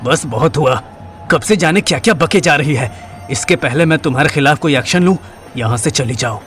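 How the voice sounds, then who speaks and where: A man's voice: on the high side, expressive, brisk, fairly bright tone, faint music behind. A man playing an embarrassed, polite but exasperated young man who stammers earnestly in an audio drama. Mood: suppressed anger. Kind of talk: Hindi audio drama acting.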